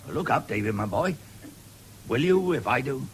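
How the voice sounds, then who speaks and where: A man with a husky voice playing an earnest middle-aged father in a radio drama. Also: medium pitch, animated, moderate pace, a little hiss or hum, close to an everyday voice. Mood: solemn, resolved.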